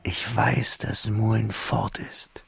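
An actor playing a reflective man who narrates his schoolboy memories in a radio drama, in a measured, intimate, literary manner. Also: lowish voice, flat delivery, vocal fry, over loud music, heavily acted. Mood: pensive. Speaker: first-person narrator.

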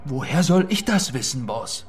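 A man playing a cowed street pimp in a radio crime drama. His mid-pitched voice is nervous and pleading, submissive to authority, with faint music behind. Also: sing-song, moderate pace, lightly acted. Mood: frightened, pleading. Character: nervous, cowed male pimp under interrogation.

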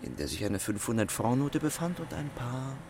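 World-weary male swindler, radio drama, imperious, clipped, medium pitch, clear background noise. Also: big pitch swings, moderate pace. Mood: smug, explaining. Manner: clipped, imperious, dryly ironic. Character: cool, commanding, world-weary gentleman swindler of the 1920s. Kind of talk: radio play acting.

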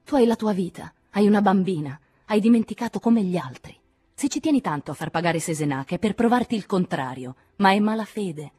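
Woman acting firm, brusque, husky-voiced weary woman. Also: mid-range voice, lively intonation, a little fast, mellow, soft background music, voice pushed up. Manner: brusque, reasoning. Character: pragmatic, weary, firm adult woman, reasoning. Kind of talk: dramatic audiobook character acting.

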